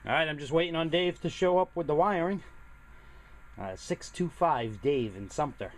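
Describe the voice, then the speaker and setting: A man's voice: a little high, moderate pace. A man casually narrating a hands-on hobby project in a plainspoken, explanatory way. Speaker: hobbyist presenter. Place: home workshop and outdoor driveway. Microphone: handheld camera, close to speaker.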